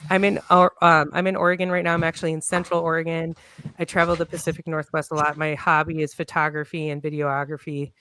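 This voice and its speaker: A man's voice: fairly high pitch, flat delivery, fast, pushed and bright, clean tone. A man speaking as a guest on a casual video-call panel, rambling and upbeat with plenty of fillers. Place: home room on video call.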